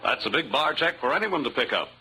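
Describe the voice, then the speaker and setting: Animated male vintage radio announcer. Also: mid-range voice, fast. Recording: old radio recording with faint music.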